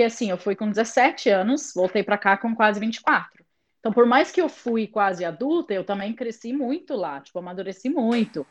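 A young English woman, a native English speaker, chatting in an interview as a lively storyteller. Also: on the high side, highs cut off. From England. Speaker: interview guest. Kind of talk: casual conversation.

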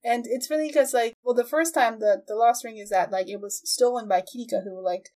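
A woman with a fairly high voice hosts a casual podcast chat. She talks in a halting, loosely structured way with a giggly streak, as if retelling a show to a friend. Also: darkish tone. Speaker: podcast host. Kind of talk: casual chat, recapping an anime.

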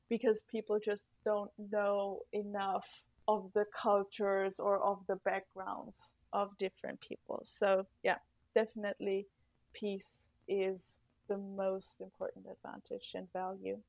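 Young Austrian woman, former exchange student, podcast guest, unhurried and earnest, dry close sound. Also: medium pitch, completely flat delivery, no room sound, dull audio. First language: German. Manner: thoughtful, earnest, with soft laughs and fillers. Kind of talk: podcast interview answers.

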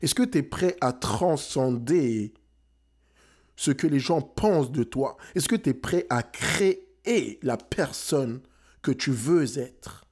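A man's voice: mid-range voice, animated, takes it slowly, a little mellow. A young man from France giving a rousing motivational talk, emphatic and dropping English phrases into his French. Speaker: motivational speaker.

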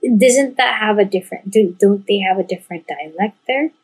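A woman's voice: mid-range voice, moderate pace, husky. A woman hosting a podcast, chatting casually and asking curious follow-up questions. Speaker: podcast host. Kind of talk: casual interview chat.

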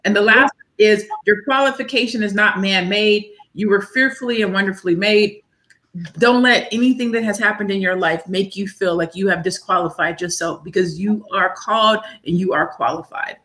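A woman's voice: mid-range voice, normal speed. A woman in her forties talking earnestly as a guest about her book, often restarting and repeating words as she builds up steam. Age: 40s. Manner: earnest, faith-driven, repeats words when excited. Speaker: author and guest. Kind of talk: casual interview chat.

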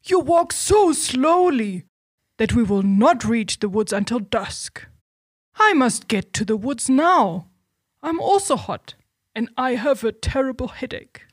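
A man voicing a big, apologetic, grumbling elephant in a storybook, with drawn-out, reluctant speech. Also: very high voice, big pitch swings, husky, dry recording, clean studio sound, pitched above the performer's own voice, exaggerated voice. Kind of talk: storybook character voice.

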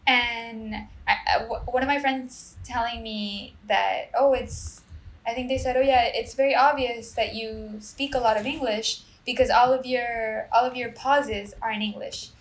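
A woman's voice: fairly high pitch, fairly even intonation, measured pace, echoey room, rough recording. A woman in her twenties from Puerto Rico with a Puerto Rican Spanish accent, chatting casually and hesitantly, full of fillers and restarts. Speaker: medical student. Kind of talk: casual conversation.

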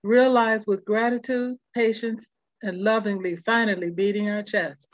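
An older woman reads her own poem in measured, short phrases with a rhyming cadence. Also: medium pitch, steady tone, no room sound. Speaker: poet reading own work. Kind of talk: poetry recitation. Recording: dull, lo-fi dry recording.

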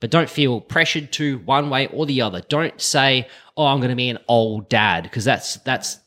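A man's voice: medium pitch, normal speed, bright, forceful tone. A man in his late thirties chatting casually as a co-host, blunt and joking, swearing freely. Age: late 30s. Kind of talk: casual chat.

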